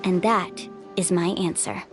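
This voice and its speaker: A woman's voice: on the low side, unhurried, natural voice. A woman playing a confident, caring young female tour guide in a video game, earnest and encouraging. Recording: music behind the voice.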